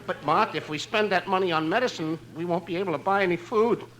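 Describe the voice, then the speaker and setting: A man's voice: high voice, fast, lightly acted. A man playing a scheming telegram messenger, deferential, hesitant and plaintive, in 1950s radio comedy. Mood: mournful, pleading. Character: scheming telegram messenger with a hard-luck sob act. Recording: noisy old 1950s radio broadcast.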